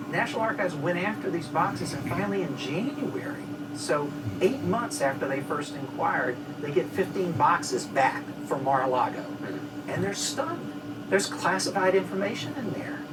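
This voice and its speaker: A man commenting as an expert guest on a news show, telling events like an animated storyteller. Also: on the high side. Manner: animated storytelling, acts out quotes. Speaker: expert guest from an archive research group. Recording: noisy, distant-sounding audio.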